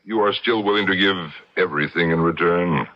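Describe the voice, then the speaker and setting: An actor playing a suave, gloating devil with a theatrical, sardonic, commanding manner in an old-time radio drama. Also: male voice, sits low, a little fast, no room sound, natural voice. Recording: dull, lo-fi old radio recording.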